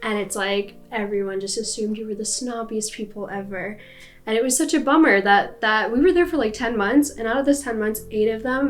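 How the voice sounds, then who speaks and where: A young woman with an American accent telling a personal story in a chatty, casual way, with many fillers. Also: medium pitch. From United States.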